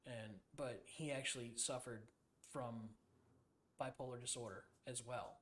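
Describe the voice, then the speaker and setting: A male podcast host with a medium-pitched voice talks casually with a guest. His delivery is loose and halting, feeling his way toward each point in plain, informal language that allows for some swearing. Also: fairly even intonation, fairly bright tone.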